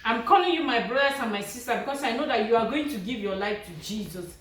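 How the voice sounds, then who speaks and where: A woman's voice: fairly high pitch, lively intonation, fast, slight background noise. A woman evangelist preaching in a pleading, imploring way, begging emphatically and repeating her appeals. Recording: very echoey room, distant from mic.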